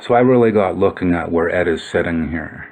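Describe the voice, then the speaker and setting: A man's voice: low voice, brisk, a little mellow. A man giving informal explanatory commentary on old photos, musing and thinking aloud as he goes. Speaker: video narrator.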